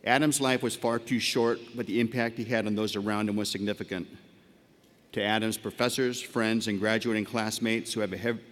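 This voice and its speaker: Solemn low-voiced man in an arena. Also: fairly even intonation, a little fast, a bit bright. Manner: solemn, careful and respectful. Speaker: ceremony master of ceremonies. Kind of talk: formal ceremony announcement. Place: large arena. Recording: long arena reverb.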